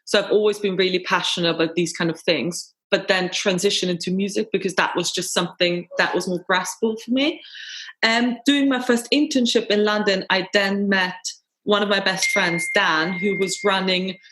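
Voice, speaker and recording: A woman in her thirties from Austria talks over a video call. Her speech is chatty and enthusiastic, flowing from one point to the next. Her pitch sits in a middle range. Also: steady tone, moderate pace, fairly bright tone, rough recording. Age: 30s. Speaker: festival organiser and tour manager. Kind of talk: webinar Q&A answers.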